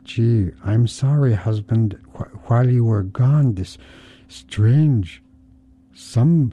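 A man voicing a timid female mink living alone, speaking gently and apologetically. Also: medium pitch, voice pushed up.

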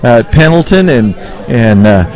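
A man hosting a casual live broadcast interview, speaking in a folksy, halting, conversational way. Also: medium pitch, expressive, darkish tone. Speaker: radio show host and interviewer.

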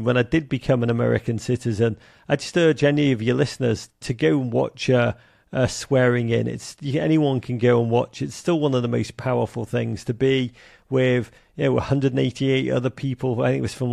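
A man's voice: mid-range voice, gravelly, darkish tone, no echo, clean studio sound. A man from England with a Liverpool accent, a soccer broadcaster and author, talking animatedly in an interview with many fillers. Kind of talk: conversational interview.

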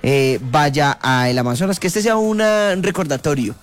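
A man hosting a casual radio show, relaxed and chatty with hesitant fillers. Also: a little high, moderate pace, faint music behind. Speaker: radio host. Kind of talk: casual radio chat with a read travel promo.

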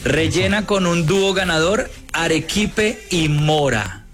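High-pitched Colombian male radio host. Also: lively intonation, slow pace. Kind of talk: casual chat and announcements. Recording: music bed behind the voice.

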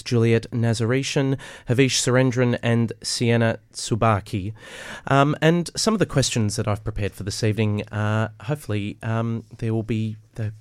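A man hosts an interview, polite and encouraging, with plenty of ums between his questions. His voice sits at an ordinary pitch. The recording is dry, with no room sound. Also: dry recording.

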